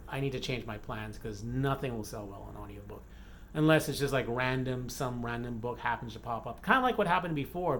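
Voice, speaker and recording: A man from the Bahamas chatting casually in a vlog update, rambling with frequent fillers and self-corrections. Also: medium pitch. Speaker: romance author and vlogger.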